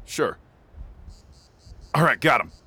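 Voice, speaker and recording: A man's voice: mid-range voice, sing-song, slow, sharp tone, breathy edge, full character voice. A man playing an outlaw's sidekick in an amateur radio drama, blunt and threatening. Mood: businesslike. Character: male outlaw sidekick holding a gun.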